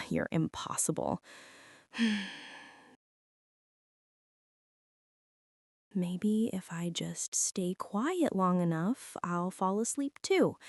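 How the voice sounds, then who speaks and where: A woman's voice: fairly low pitch, a little mellow, no echo, exaggerated voice. A young woman playing a prickly, defensive teenage school bully in an intimate girlfriend ASMR roleplay, sulky and sarcastic, close to the mic. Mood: grudging, quieter. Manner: sulky, sarcastic, huffy, with sighs and whispers.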